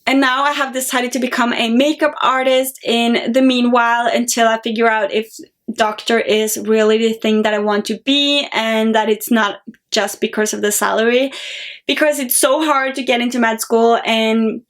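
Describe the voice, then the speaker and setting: A woman's voice: a little high, fairly even intonation, normal speed. Young Norwegian woman chatting in a vlog. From Norway. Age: young adult. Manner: chatty, reads out viewer questions and answers at length. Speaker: beauty vlogger. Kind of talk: casual Q&A vlog.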